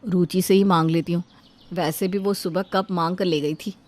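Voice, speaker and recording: A woman's voice: lowish voice, steady tone, moderate pace, background noise, pitched below the performer's own voice, lightly acted. A woman voicing a haughty, bickering elder daughter-in-law in a household story, boastful and theatrical. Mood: scheming, fretful. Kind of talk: animated Hindi moral story dubbing.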